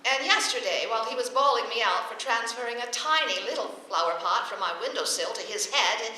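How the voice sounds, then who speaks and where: Bright, wry radio-comedy schoolteacher. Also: female voice, a little high, fairly quick. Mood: rueful. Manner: dry, deadpan wisecracks with crisp timing. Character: wry, quick-witted single schoolteacher, long-suffering and sardonic. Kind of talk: vintage radio comedy acting before a laughing audience. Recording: echoey old radio stage recording, faint noise.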